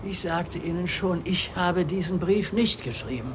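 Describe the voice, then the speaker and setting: A man's voice: high voice, normal speed, close to an everyday voice. An actor as an obsessive, earnest male undertaker, noisy track. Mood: agitated, insistent. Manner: earnest, insistent, brooding. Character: odd, obsessive male undertaker with medical ambitions. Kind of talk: German radio drama acting.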